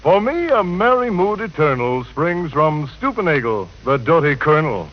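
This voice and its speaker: A man's voice: a little high, very animated, moderate pace. A man announcing on 1940s radio in rhymed, scripted introductions. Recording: dull 1940 radio recording.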